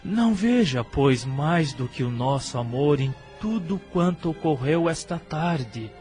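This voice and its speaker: A man playing a gentle, worried, devout father in a religious radio drama, speaking solemnly and formally. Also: a little high, animated, measured pace, soft background music. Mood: tender, worried.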